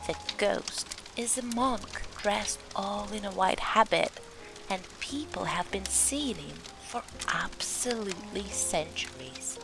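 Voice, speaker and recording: Earnest young lady who believes in ghosts, audio drama, dramatic storyteller, music clearly under it. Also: medium pitch, animated, slow. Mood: earnest, mysterious.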